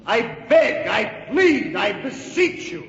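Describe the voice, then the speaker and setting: A man's voice: very high voice, big pitch swings, fairly bright tone, full character voice. An actor playing an impassioned colonial statesman making a formal, pleading speech in a 1940s radio drama. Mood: desperate pleading. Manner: grand, deliberate, pleading orator. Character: impassioned colonial statesman arguing against independence. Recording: muffled old radio, reverberant hall.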